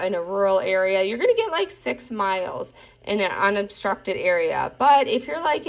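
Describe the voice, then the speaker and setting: A woman with an average-pitched voice talks through a product in a relaxed, unscripted way. She sounds informal and chatty, with clear enthusiasm as she explains and demonstrates. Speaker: product reviewer.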